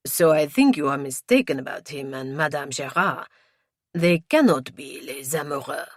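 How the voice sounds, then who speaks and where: A man's voice: fairly high pitch, expressive, normal speed, a bit bright, no room sound. Haughty French police inspector as read by a woman, formal and stilted, French accent, dry close audiobook sound. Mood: puzzled.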